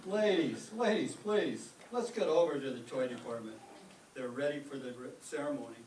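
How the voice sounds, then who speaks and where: A man's voice: medium pitch, normal speed, darkish tone, close to an everyday voice. A man playing a mild, earnest teacher in a radio comedy. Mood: placating. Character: mild, earnest, oblivious male teacher, Mister Boynton. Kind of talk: old-time radio comedy acting. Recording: echoey room, distant mic, clear noise.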